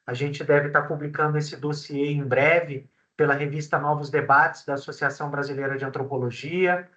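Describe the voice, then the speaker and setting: A male professor hosting an academic seminar over a video call, introducing the guest in a measured way with frequent fillers. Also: on the high side, steady tone, bright, forceful tone. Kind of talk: formal introduction. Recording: dull lo-fi video call audio.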